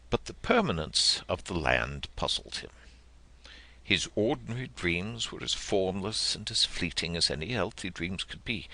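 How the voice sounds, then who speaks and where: A man reading a literary story as an audiobook narrator, in a measured, expressive, dramatic manner. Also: sits low, vocal fry, poor audio.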